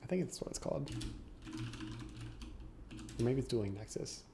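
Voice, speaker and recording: A man's voice: medium pitch, expressive, normal speed, creaky, noisy background. A young man co-hosting a podcast, chatting casually, laughing often and explaining game rules. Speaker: podcast co-host. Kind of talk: casual chat.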